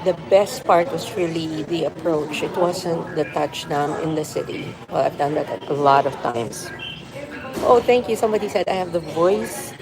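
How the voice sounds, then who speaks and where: A woman's voice: low voice, moderate pace, over music, low-quality recording. A middle-aged woman from the Philippines chatting casually on a live stream from a coffee shop, rambling and reading out viewer comments. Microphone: phone on a mobile hotspot. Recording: crowded café chatter behind. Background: café crowd chatter.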